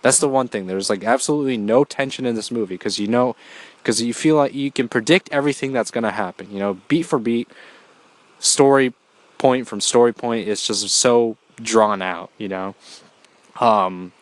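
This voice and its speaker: A man's voice: medium pitch, normal speed, dry recording. Congested man rambling through a review. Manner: rambling, filler-heavy, swears casually. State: congested from a cold. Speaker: podcast host. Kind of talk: casual solo movie review.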